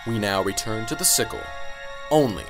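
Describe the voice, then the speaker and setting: A man's voice: lowish voice, expressive, moderate pace. Man as radio serial announcer over music. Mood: formal. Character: male radio drama announcer and narrator. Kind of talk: scripted radio serial narration.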